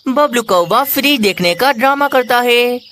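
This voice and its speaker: A woman narrates a children's story from a script. Her voice is high, and faint birdsong sits behind the recording. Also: expressive, normal speed, fairly bright tone, slight background noise.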